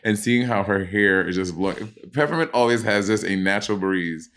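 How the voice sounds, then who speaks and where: A man's voice: lowish voice, moderate pace, fairly bright tone. A man co-hosting a podcast over a video call, rambling loosely and swearing in casual chat. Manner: loose, profane, rambling, loses his train of thought. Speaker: podcast co-host.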